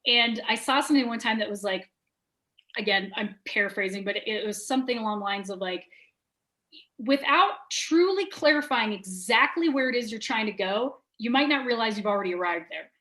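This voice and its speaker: A woman, a guest on a business interview, talking quickly and openly and filling her speech with "like". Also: a little high. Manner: rapid and animated, lots of "like" fillers. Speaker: guest, sales trainer and business owner. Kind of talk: candid conversational interview.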